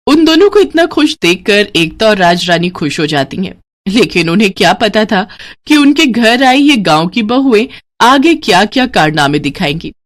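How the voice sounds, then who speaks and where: A woman's voice: medium pitch, very animated, moderate pace. A woman narrating a scripted moral story as the storyteller.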